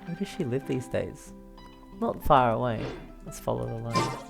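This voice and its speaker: A woman's voice: rumbling low, expressive, moderate pace, vocal fry. A woman giving casual, giggly commentary as she streams a game, with faint music behind her. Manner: giggly, informal. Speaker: gameplay streamer.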